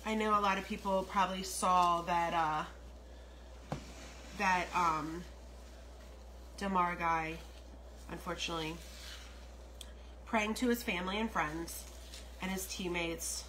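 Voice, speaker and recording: Unhurried American woman, bright voice. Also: lowish voice. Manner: unhurried, rambling asides while busy cooking. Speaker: home cook and online host. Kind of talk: casual cooking livestream chat. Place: home kitchen, indoors. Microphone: phone propped nearby. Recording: noisy kitchen, sizzling pan. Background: frying sizzle and stirring.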